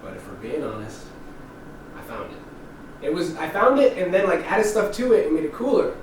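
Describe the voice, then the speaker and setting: Animated young man in an echoey room. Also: on the high side, moderate pace. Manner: animated, laughing storyteller. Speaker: podcast co-host. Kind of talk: casual chat and storytelling. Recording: echoey room, distant mic, background noise.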